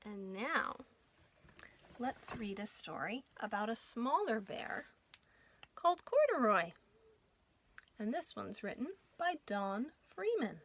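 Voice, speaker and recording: A woman hosting a children's storytime, reading aloud in an animated, sing-song way and putting questions to young listeners. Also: on the high side, takes it slowly, long pauses.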